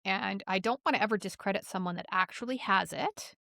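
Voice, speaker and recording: Woman host, gravelly and bright, casual, swears, dry close mic. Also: mid-range voice, fairly quick, no echo. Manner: emphatic, casual, swears, uses "like" a lot. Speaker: podcast host. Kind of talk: casual interview chat.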